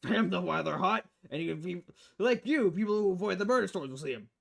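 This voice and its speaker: A man's voice: high-pitched, expressive, quick pace, gravelly, pitched above the performer's own voice, heavily acted. A man voicing a crass, cynical, money-loving newspaper editor in a visual novel, brash and foul-mouthed in manner.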